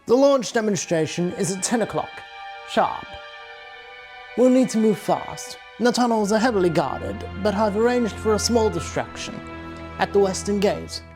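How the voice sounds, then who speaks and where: A woman playing a cool, resourceful male British secret agent of wartime in a measured fan audio drama performance. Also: mid-range voice, expressive, over music, natural voice. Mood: decisive.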